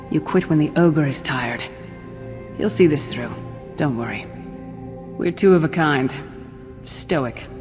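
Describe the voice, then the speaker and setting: An actress playing a tough, loyal female warrior in a fantasy game, blunt and wry. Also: low voice. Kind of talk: fantasy video game acting. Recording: long reverb, music behind the voice.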